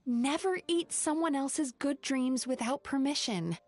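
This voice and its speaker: Polite, earnest young spirit girl for a fantasy game, higher-pitched voice. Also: measured pace, a little mellow, no echo, faint music behind. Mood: remorseful. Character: polite, earnest female dream-eating tapir spirit, young therapist. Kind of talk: video game voice acting.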